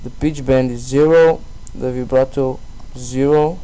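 A man presenting a casual screen-recorded software tutorial in a halting, self-deprecating way. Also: mid-range voice, clean tone, dull audio. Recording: steady background noise on voice-over.

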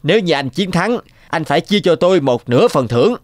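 Shrewd, genial cartoon merchant. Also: male voice, fairly high pitch, very animated, a little fast, no room sound. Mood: bargaining.

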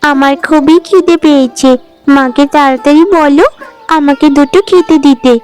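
A woman plays a little cartoon village girl with a tiny, very high voice. She is childish, excitable and whiny, over a bed of music. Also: normal speed, close to an everyday voice. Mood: cheeky, hungry. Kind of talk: Bengali cartoon voice acting.

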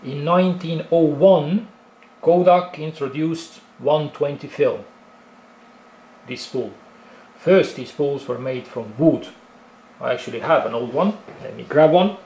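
A man's voice: on the high side, a little slow, darkish tone, roomy sound, slight background noise, poor audio. A man with an Estonian accent casually explaining film cameras in a tutorial, with frequent fillers and restarts. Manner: talks with many fillers and restarts, explaining step by step. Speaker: film photography hobbyist presenter.

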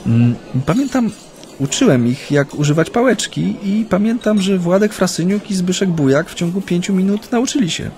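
A man's voice: high-pitched, expressive, a little mellow. Hesitant, chatty man in radio drama. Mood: earnest, reminiscing. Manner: hesitant, halting, with many hems and repetitions. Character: chatty, nervous Japanese man steeped in Polish ways. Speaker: actor in a radio play. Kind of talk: naturalistic radio drama dialogue.

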